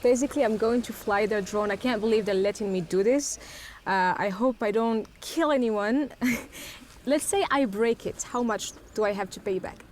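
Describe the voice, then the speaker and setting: A woman's voice: medium pitch, normal speed, slight background noise. A woman from Morocco who works as an architect, presenting a documentary in a chatty, light-hearted way. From Morocco, Casablanca. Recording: music bed under the voice.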